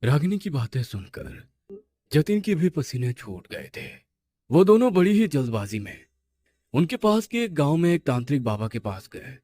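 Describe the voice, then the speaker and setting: A man's voice: fairly high pitch, sing-song, moderate pace, mellow. A man narrating a scripted horror story with suspenseful, dramatic phrasing.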